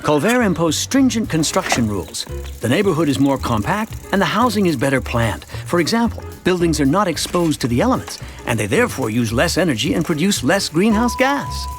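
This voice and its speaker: Contented everyman townsman, lightly high voice, lively intonation, conversational cartoon storytelling. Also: brisk. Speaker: narrator. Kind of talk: scripted first-person story narration. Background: music.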